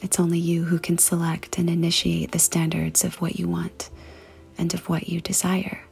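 A woman leading a guided meditation and prayer in a soft, hushed, breathy and creaky voice, speaking deliberately with pauses. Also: lowish voice, monotone. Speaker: podcast host and meditation guide. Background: music.

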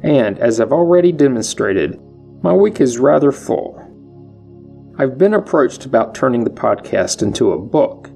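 A man hosting his own history podcast, reading a measured, matter-of-fact scripted monologue. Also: medium pitch, sing-song, gravelly, dark tone, faint music behind. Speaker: podcast host.

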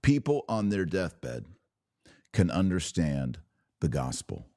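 A man hosting a religious podcast, preaching in an emphatic, declarative way with deliberate pauses and repetition. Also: deep voice, big pitch swings, mellow, dry recording, clean studio sound. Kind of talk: preaching monologue.

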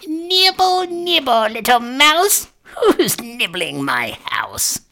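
A shrill old storybook witch, swoops high and stretches out words with mock sweetness. The delivery is sly, gloating and cruel, with a great deal of swing in pitch. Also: sing-song, sharp tone, heavily acted. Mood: sly, calling. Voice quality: shrill, cackling. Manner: drawn-out, mocking, swooping delivery.

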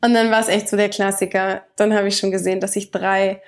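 A woman's voice: mid-range voice, little pitch movement, a little fast. A woman in her thirties hosting a casual personal podcast from her bedroom at home, chatty and rambling, her nose stuffy from a cold. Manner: chatty and rambling, with many restarts. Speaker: podcast host. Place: home bedroom, sitting on a bed in the evening.

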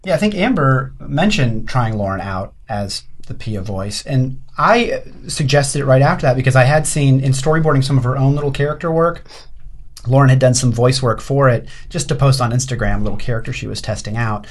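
A man's voice: mid-range voice, fairly quick. A man who works as an animation creative director, talking casually in an interview and often restarting his sentences.

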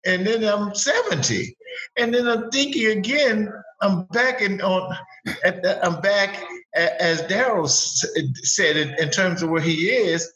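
A man's voice: high-pitched, unhurried, bright, forceful tone, lo-fi sound. A man in his seventies on a video call, telling his story animatedly with repetitions and exclamations. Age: 70s. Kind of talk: casual group video chat. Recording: echoey room.

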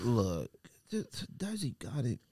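A man with a medium-pitched voice that moves around animatedly, captured dry and close. He hosts a loose group chat, talking bluntly in slang as he steers it. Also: huge pitch swings, unhurried, mellow, slightly rough, no echo. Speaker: podcast host steering the list. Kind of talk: casual group banter about sneakers.

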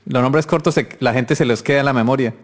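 A man hosting a music production podcast, asking questions in a relaxed, friendly, chatty way. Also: medium pitch, fast talker. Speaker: podcast host. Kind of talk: casual interview.

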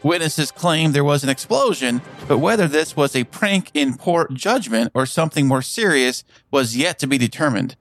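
An actor playing a television news reporter with a crisp newsreader delivery. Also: male voice, fairly high pitch, expressive, moderate pace, hardly any pauses, a bit bright, no echo, faint music behind. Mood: formal. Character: male TV news reporter, formal.

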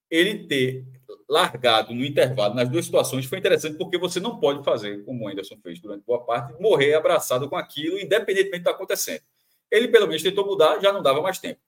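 A Brazilian man analyzing football on a panel show, animated and full of restarts and repeated phrases. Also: on the high side. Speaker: football commentator. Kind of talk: sports analysis panel chat.

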